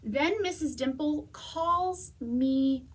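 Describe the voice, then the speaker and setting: A woman reading a children's story aloud as a storytime reader, expressively, with dramatic pauses and whispers. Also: sits high. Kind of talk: animated children's read-aloud.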